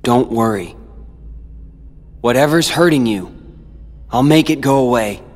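Teen boy warrior for a game: mid-pitched, protective, intense, measured pace. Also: lively intonation, a little mellow, soft background music. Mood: protective, determined. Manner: earnest, intense. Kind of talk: video game cutscene acting.

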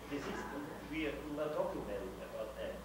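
A man's voice: fairly high pitch, big pitch swings, brisk. A man in the audience making a point at a conference, hesitant and searching for words. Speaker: conference participant. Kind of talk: discussion remark. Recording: echoey room from far off, very noisy.

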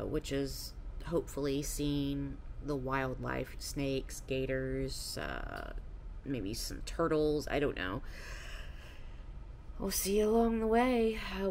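A woman's voice: sits low, slight background noise. Tired rambling woman vlogging in bed. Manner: rambling, tired, laughs often. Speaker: travel vlogger. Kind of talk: casual vlog chat to camera. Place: hotel room, then a car. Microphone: phone or camera at arm's length.

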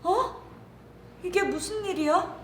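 A woman's voice: extremely high pitch, slow pace, pitched above the performer's own voice. A woman voicing a sweet, vain yet anxious little girl in a children's story, exclaiming and talking to herself in a sing-song way. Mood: anxious, startled. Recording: echoey room with music behind.

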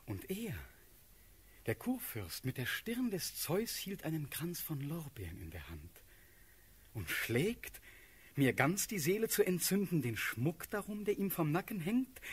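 An actor playing a dreamy, passionate young prince and cavalry officer in a radio play, declaiming classical verse in a halting, rapt way. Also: male voice, a little high, very animated, breathy edge, heavily acted.

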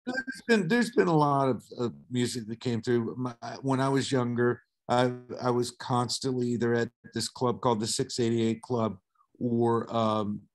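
A man's voice: medium pitch, normal speed. Laid-back middle-aged man telling stories. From southern United States. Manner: laid-back, swears casually. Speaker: guest. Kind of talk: casual interview storytelling.

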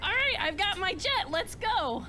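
A woman voicing an earnest, deadpan young fox boy in an improvised comedic game dub. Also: extremely high pitch, measured pace, noisy background, full character voice. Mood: matter-of-fact.

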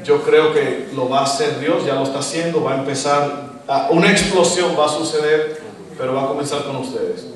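A middle-aged Dominican man with a Dominican Spanish accent, preaching a sermon as guest pastor, animated and asking the congregation questions. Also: fairly high pitch, moderate pace, distant mic. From Dominican Republic. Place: church stage, indoors, large hall. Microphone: handheld mic. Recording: echoey hall, recorded from afar.

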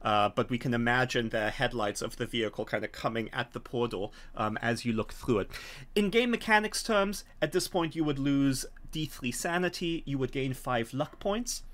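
Middle-aged male game master, medium pitch, explanatory narration over a video call. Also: normal speed, fairly bright tone. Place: small home room, video call. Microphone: video call from a desk.

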